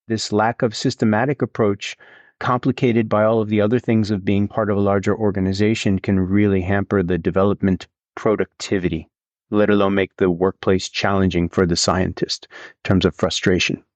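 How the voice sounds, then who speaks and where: A middle-aged man with a Swedish accent, a guest in a conversational interview, explaining in a measured way with some fillers. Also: lowish voice, fairly even intonation, a little mellow, no echo. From Sweden. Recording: dull, slightly lo-fi sound.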